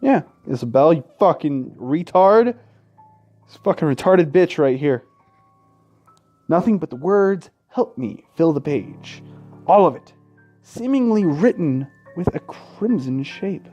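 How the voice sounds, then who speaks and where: A man with a slightly high voice hosts a let's play, reading the text aloud dramatically. His delivery is slow, broken by crude, mocking, foul-mouthed asides, with game music faintly behind him. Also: expressive, warm tone, lo-fi sound.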